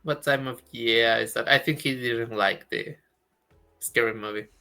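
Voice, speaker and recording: A man co-hosting a live stream, reading viewer questions aloud and reacting excitedly. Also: mid-range voice, a little slow, creaky, soft background music. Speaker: co-host community manager. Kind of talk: casual live stream chat.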